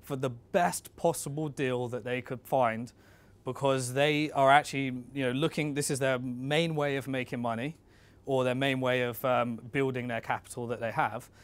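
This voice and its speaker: A man's voice: medium pitch, steady tone, normal speed. A man giving an informal instructional talk as a presenter, conversational and coaching, speaking directly to his listeners.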